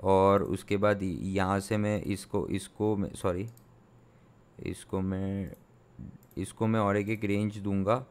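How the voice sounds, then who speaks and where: A young man, a sound engineer from India, explains software step by step in a tutorial, thinking aloud with many fillers and restarts. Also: low-pitched, little pitch movement, takes it slowly, clear, resonant tone. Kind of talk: screen-recorded software tutorial.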